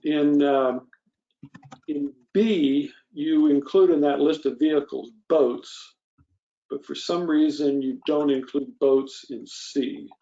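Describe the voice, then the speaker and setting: A man's voice: fairly high pitch, fairly even intonation, slow pace, warm tone, muffled recording. A man serving as a commission member, questioning points methodically in an informal meeting discussion.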